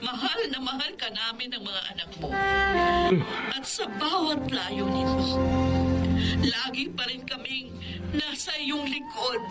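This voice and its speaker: A woman's voice: high voice, expressive, a little slow, loud music behind the voice. An actress playing a loving, grateful wife and mother in an emotional radio drama, with a Filipino accent. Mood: tender.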